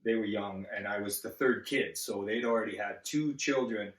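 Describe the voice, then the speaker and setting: A man with a fairly low voice answers interview questions, often restarting and stumbling over his words as he speaks earnestly. He sounds set back from the microphone. The room around him is roomy and echoing. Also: a bit bright, far from the mic. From Zambia. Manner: halting, many restarts and fillers, earnest. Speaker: guest. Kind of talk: casual interview.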